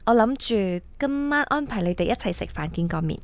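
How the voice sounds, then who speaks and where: A woman's voice: fairly high pitch, lively intonation, brisk, a little hiss or hum. An actress playing a persuasive young woman, a tycoon's breezy daughter, in a radio drama, mixing in English words. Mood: eager. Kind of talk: radio drama acting, casual dialogue. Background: background music.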